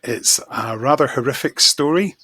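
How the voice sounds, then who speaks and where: An enthusiastic man talking casually as a podcast guest, effusive in his praise. His voice is bright and forward with a husky edge, recorded close and dry. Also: mid-range voice, normal speed, dry recording. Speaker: podcast guest, magazine editor. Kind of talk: casual chat, fan discussion.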